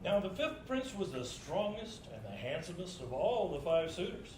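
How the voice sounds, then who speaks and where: Guy reading a story on stage, kinda high voice, echoey room, bit of background noise. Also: big pitch swings, moderate pace, a little mellow. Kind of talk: dramatized literary storytelling.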